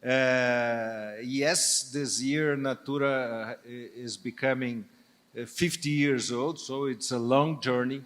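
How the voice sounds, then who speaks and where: An older man with a Portuguese accent, a native Portuguese speaker, speaking as a guest on a stage panel in a halting, hesitant way with many restarts. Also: medium pitch, fairly even intonation, a bit bright. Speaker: business leader guest.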